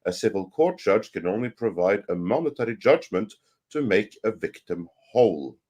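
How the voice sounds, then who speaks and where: A man hosting a casual livestream panel, reading viewer messages aloud haltingly and adding brief comments. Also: lowish voice, animated, a little mellow, breathy edge.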